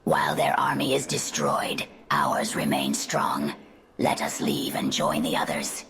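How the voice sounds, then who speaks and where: A calculating undead spider sorceress, extremely low and gravelly, with swooping pitch and a whispery hiss. She speaks in formal, measured game-cutscene lines over music. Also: big pitch swings, heavily acted. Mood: cold, satisfied. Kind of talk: fantasy game cutscene dialogue. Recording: loud music behind the voice.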